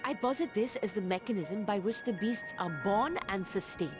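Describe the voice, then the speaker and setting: A woman's voice: medium pitch, expressive, normal speed, lightly acted. An actress voicing an earnest, caring woman scholar in a video game, speaking in formal, precise language. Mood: earnest, intent. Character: earnest, caring woman scholar theorizing about mysterious energy. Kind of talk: scripted video game voice acting. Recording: background music under the voice.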